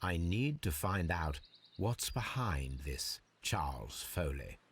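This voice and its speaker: A shrewd detective from a mystery game, with a low voice that moves freely up and down. He speaks deliberately and precisely, as if weighing each piece of evidence. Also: big pitch swings, husky, heavily acted. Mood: musing, deliberate. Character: shrewd detective posing undercover as a lockpick thief. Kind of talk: scripted video game acting.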